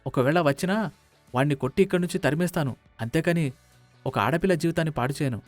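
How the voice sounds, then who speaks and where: A man's voice: a little high, fast talker, dry recording, soft background music, lightly acted. A man playing a protective, indignant young son in a family drama story.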